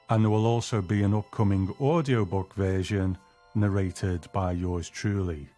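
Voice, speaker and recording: A man's voice: deep voice, dark tone. A man hosting a show, reading scripted narration to introduce a segment. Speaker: podcast host, narrator. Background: music.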